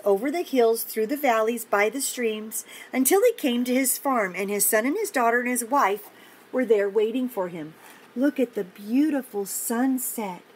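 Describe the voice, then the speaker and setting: Higher-voiced older woman reading to children. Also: rough recording. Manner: gentle, encouraging, often asks the child listener questions. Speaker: children's story reader and host. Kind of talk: picture-book read-aloud with chatty asides to young children. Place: indoor home office. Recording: clear background noise, room ambience.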